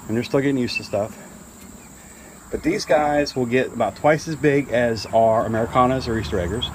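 A man filming his farmyard outdoors on a handheld phone, explaining at an easy, relaxed pace. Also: mid-range voice, moderate pace, background noise. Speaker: homesteader showing his farm. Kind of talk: casual walk-through narration.

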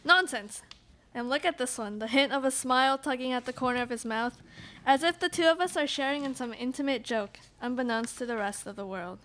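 A woman's voice: sits high, brisk, fairly bright tone. A young woman playing a teasing, dramatic college student with a florid delivery in a student radio play. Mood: admiring. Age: young adult. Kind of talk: student live radio play, read from script. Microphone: stage microphone on stand. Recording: noisy live studio pickup with clicks.

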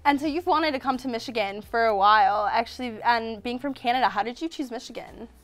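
A young woman hosts a student TV interview in a small brick-walled studio and speaks into a lapel mic. Her voice sits a little high, and she asks her questions in a friendly, upbeat way. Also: brisk, fairly bright tone, soft background music. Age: young adult.

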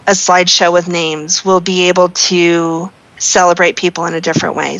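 A woman's voice: fairly low pitch, a bit bright, muffled recording. A woman giving earnest, casual interview answers as a member of a charity team. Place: online voice chat in a virtual world.

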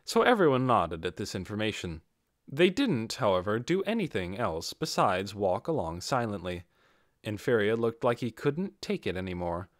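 A man's voice: on the low side, big pitch swings, normal speed, dry recording, clean studio sound. A man reading a fantasy novel aloud as an amateur audiobook narrator.

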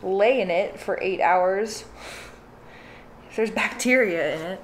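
Young woman, mid-pitched, offhand and blunt, relaxed craft-stream host with faint noise behind. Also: slow. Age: young adult. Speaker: livestream host. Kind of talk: casual chat while doing crafts. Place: living room or home craft room.

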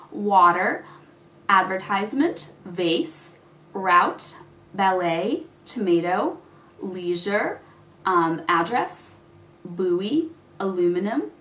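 A woman's voice: lowish voice, a bit bright. A young woman born in Russia, chatting casually to camera as a YouTuber and laughing often between words. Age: young adult. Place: small indoor room with patterned wall. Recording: echoey room.

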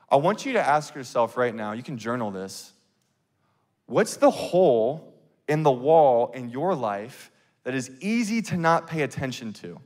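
A man in his thirties preaching a sermon on a church stage into a handheld mic, earnest and conversational, with quick bursts and restarts. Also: fairly high pitch, in a large hall. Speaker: pastor.

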